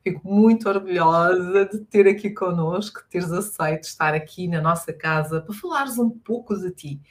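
A woman's voice: fairly low pitch, moderate pace. A woman hosting a live talk show, introducing and addressing her guest. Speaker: host.

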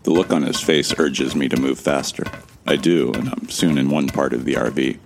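Super low gravelly guy telling his own story in an audio drama, friendly, kinda wry, amnesiac stranger. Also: steady tone, normal speed, background noise. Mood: observant, urgent. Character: Jake Fisher, amnesiac man, observant and friendly. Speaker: narrator and lead character of an audio drama. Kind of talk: first-person dramatic narration.

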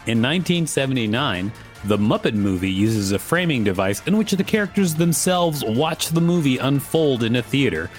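A man's voice: mid-range voice, lively intonation, moderate pace, faint music behind. A man co-hosting a podcast, reading an article aloud and chatting casually about it. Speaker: podcast co-host.

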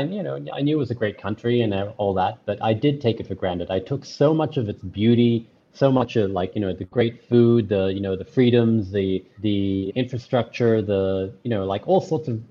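Earnest man with a low voice. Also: dark tone, dull audio. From Australia. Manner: earnest, rambling, many restarts and fillers. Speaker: guest. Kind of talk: casual interview conversation.

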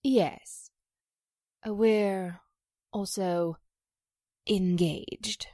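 A woman playing a distressed, pleading stage actress in a 1940s-style radio drama, halting and emotional. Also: mid-range voice, steady tone, dark tone, dry recording, clean studio sound. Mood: tense, halting. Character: distressed stage actress accused of a publicity stunt, pleading, wounded.

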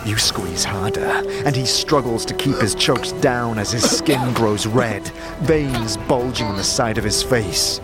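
A man's voice: medium pitch, a little slow, few pauses. A man narrating a scripted fantasy audio drama in an intense, theatrical way. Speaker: narrator and performer. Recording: clear music bed behind voice.

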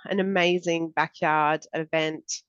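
This Australian woman in her forties co-hosts a casual podcast, and her voice comes out stuffy and nasal from a cold. She sits at the lower end of the usual range for a woman and fills her talk with ums. The sound is dry and close, but dull and lo-fi. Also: fairly even intonation, slow, no echo. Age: 40s. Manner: chatty, many fillers and laughs. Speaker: podcast co-host. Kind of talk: casual chat.

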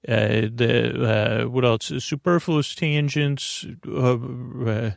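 A man with a creaky voice hosting a sleep podcast, rambling through a goofy, self-deprecating bedtime monologue with halting repeats, drawn-out words and droning tangents. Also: medium pitch, fairly even intonation, no echo, studio quality. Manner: meandering, halting, repetitive, with trailing tangents.

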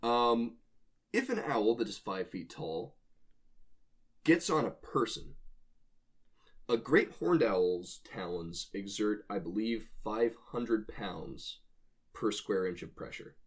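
A man's voice: on the low side, expressive, slow pace, long pauses, breathy edge. A man co-hosting a casual speculative discussion, explaining his ideas with enthusiasm as he reasons aloud. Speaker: podcast co-host.

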